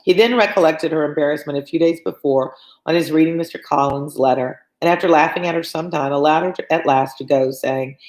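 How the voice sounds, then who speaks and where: A woman with a low-pitched voice hosts a read-along. She moves between narrating a novel and informal, conversational asides, like a tutor talking a class through the book. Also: brisk, darkish tone, low-quality recording. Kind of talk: reading a novel aloud with chatty commentary.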